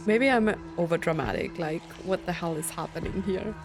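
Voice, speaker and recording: Woman gaming commentator over loud music. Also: fairly low pitch, moderate pace. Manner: reacting in disbelief, thinking aloud. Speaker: gaming streamer. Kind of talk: let's play commentary, reading subtitles aloud. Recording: game music behind the voice. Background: game music and game dialogue.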